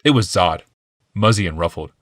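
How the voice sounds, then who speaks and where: Male narrator for fantasy audiobook: lowish, animated delivery, dry studio-close sound. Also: normal speed, dry recording. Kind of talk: dramatic scripted fiction narration.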